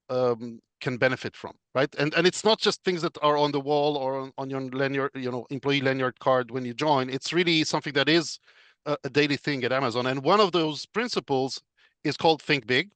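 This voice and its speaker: A man, a guest on a podcast interview over a video call, explaining in a measured way with frequent fillers. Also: on the high side, fairly bright tone, no echo. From Israel. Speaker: podcast guest, business consultant. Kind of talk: casual interview chat.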